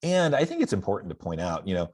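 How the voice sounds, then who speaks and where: A man with a very low voice hosts a relaxed interview. He talks conversationally and agreeably, thinking aloud in a somewhat halting, reflective manner. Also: slightly rough. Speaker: podcast host, university professor.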